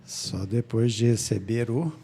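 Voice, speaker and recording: Gravelly, mellow-voiced Bible study co-host. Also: male voice, mid-range voice, measured pace. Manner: calm, agreeing, fills with "né?". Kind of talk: casual Bible study chat.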